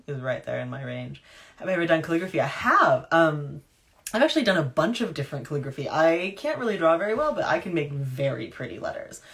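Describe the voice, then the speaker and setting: Brief: author in her thirties, low gravelly voice, hushed storybook reading then loose live chat at a home webcam. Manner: hushed, whispery reading; chatty with fillers in talk. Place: dim room at home.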